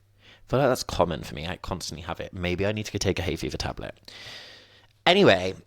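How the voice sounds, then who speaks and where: A young man in his twenties hosts a solo podcast from home in a rambling, self-mocking way. His voice sits very low, and the sound is close and dry with no room around it. A sniffly nose runs through his talk. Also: very animated, fast, dry recording. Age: 20s. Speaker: podcast host. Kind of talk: casual solo podcast chat. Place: at home, indoors.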